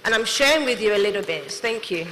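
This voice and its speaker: A woman's voice: medium pitch, lively intonation, fast talker, noisy background. A young adult woman, a company CEO, giving an earnest prepared speech to an audience in a large hall. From not Nigeria. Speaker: CEO and manufacturer giving a talk. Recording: long hall reverb.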